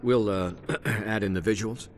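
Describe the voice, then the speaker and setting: An actor playing a polite but pointed, sceptical interviewer in a video game cutscene. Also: male voice, on the low side, steady tone, unhurried, lightly acted. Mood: hesitant.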